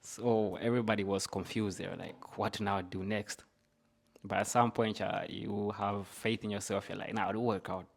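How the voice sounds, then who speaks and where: A man's voice: fairly low pitch, level tone, normal speed, creaky. A man from Zambia with a Zambian accent, telling his own story conversationally as a podcast guest, with casual filler phrases like "you know".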